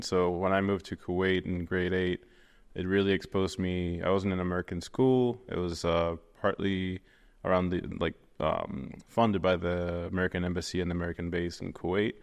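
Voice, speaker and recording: A man's voice: low voice, clean tone, long reverb tail. A young man from Venezuela, a guest in a casual interview, rambling with many fillers and restarts. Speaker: guest, 3D artist.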